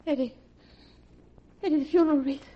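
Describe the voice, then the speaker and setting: Woman playing panicky female accomplice, piercingly high pitch, melodramatic, pleading, dull vintage radio drama sound. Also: a little fast, lightly acted. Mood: horrified. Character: frightened, guilt-ridden woman accomplice, near hysteria. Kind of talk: 1940s radio acting.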